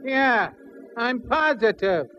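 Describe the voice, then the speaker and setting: High pitched curt local guy, slangy, muffled old radio play. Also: lively intonation, takes it slowly, bright, forceful tone, soft background music, exaggerated voice. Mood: dismissive. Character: curt, certain male neighbor answering the door. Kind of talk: 1940s American radio drama bit part. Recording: muffled, lightly hissy old radio recording.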